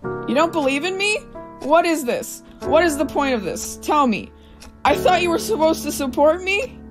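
A woman acting a grieving, volatile young man with big ambitions in an amateur radio play, emotional and halting when upset. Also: high-pitched, expressive, over music. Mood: defiant.

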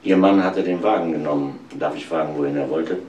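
An actor playing a sober, courteous police inspector in a crime radio play. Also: male voice, extremely low pitch, a little fast, a little mellow, lo-fi sound. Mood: grave. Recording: noisy old radio drama recording.

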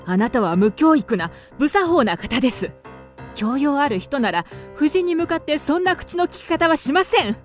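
Woman acting a proud, high-voiced refined widow. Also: expressive, moderate pace, soft background music. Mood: indignant. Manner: refined, formal, haughty. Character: proud, grieving young widow, haughty and indignant. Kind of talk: radio drama acting.

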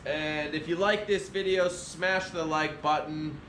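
A man's voice: a little high, steady tone, a little slow. A young man, a chainsaw carver, chatting casually to an action camera while he works in a large wood workshop. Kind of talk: casual vlog talk. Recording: echoey workshop with loud background noise. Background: workshop ambience and machinery noise.

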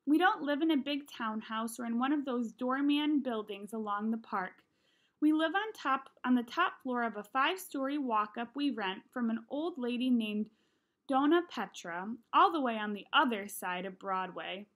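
Lively, high-voiced woman reading aloud. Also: moderate pace. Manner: expressive reading, animated in dialogue. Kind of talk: reading a children's novel aloud. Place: small room at home, indoors.

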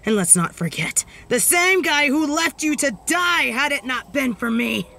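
A woman's voice: high voice, big pitch swings, a little slow, heavily acted. A woman voicing a bitter, sardonic, vengeful supervillain in a dramatic audio roleplay, theatrical and menacing. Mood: furious, indignant. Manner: theatrical, menacing, swinging from hushed to shouted.

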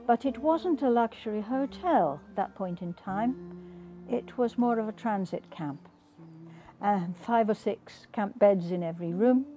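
Older woman, mellow relaxed voice, measured pauses, interview reminiscence, light music behind. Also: mid-range voice. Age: older, around 80. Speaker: former internment camp child. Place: outdoors in a garden. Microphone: lapel.

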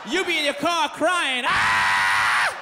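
An American man in his thirties doing stand-up comedy in a loud, animated, profane manner on a handheld microphone. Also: very high-pitched, normal speed, a loud crowd. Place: large theater stage with live audience. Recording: echoey theater PA, audience noise.

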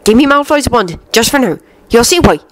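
A woman's voice: fairly high pitch, animated, fairly quick, voice pushed up, natural voice. A woman voicing a brave, hot-headed teenage boy with an urgent, blurting manner in a story reading. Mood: urgent whisperer.

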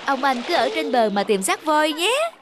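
Casting: sly little cartoon turtle, high voice, coolly teasing. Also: female voice, big pitch swings, normal speed, heavy background noise. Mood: mocking. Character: small, cunning, cheeky turtle. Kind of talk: cartoon voice acting.

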